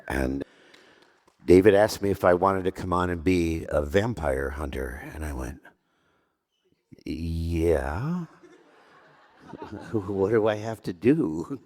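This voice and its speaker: A man on a panel telling a story about his casting, acting out the dialogue as he goes. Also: rumbling low. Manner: animated storyteller, acts out dialogue. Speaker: actor panelist. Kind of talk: anecdotal panel talk.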